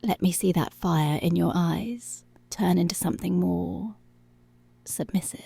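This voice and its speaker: A woman's voice: on the low side, dark tone, a little hiss or hum. A woman playing a dominant, teasing policewoman in an intimate, half-whispered ASMR roleplay. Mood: domineering. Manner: slow, breathy half-whispered, possessive teasing.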